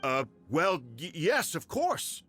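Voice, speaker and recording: A fawning, flustered clan official. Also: male voice, fairly high pitch, big pitch swings, slow pace, a bit bright, faint music behind, full character voice. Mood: evasive, fawning. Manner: nervous, stammering, ingratiating. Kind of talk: video game voice acting.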